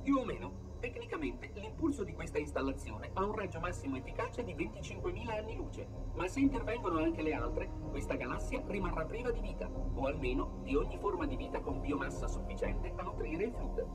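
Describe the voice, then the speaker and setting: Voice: mid-range voice, very animated, fairly quick, hardly any pauses, recorded from a distance, rough recording. Officious machine caretaker for a game, formal and fussy, swooping intonation, off-mic under music. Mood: pedantic, matter-of-fact. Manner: formal, pedantic, prim. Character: fussy, officious floating robot caretaker of an ancient installation. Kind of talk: dubbed video game cutscene acting.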